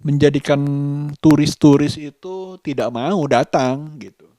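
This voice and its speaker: Slow-paced man vlogging. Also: on the high side, warm tone, dry recording, clean studio sound. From Indonesia. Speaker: vlogger, host of a daily vlog. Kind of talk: casual vlog monologue to camera.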